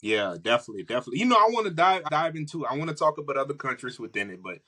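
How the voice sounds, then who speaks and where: A man's voice: a little high, very animated, rapid-fire, pushed and bright. A man from Louisiana with an American accent, hosting a casual interview and often restating his phrases with enthusiasm. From Louisiana, United States. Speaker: podcast host, interviewer.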